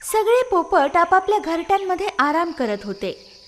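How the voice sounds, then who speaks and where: A woman's voice: very high voice, sing-song, normal speed, a bit bright, soft background music. A woman narrating scripted moral stories for children as a storyteller.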